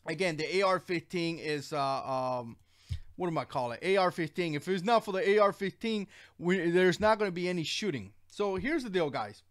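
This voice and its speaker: A man's voice: high voice, expressive, moderate pace. Cuban-born man rambling on a livestream. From Cuba. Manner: rambling, earnest, frequent fillers and restarts. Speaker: channel host. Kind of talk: casual livestream commentary.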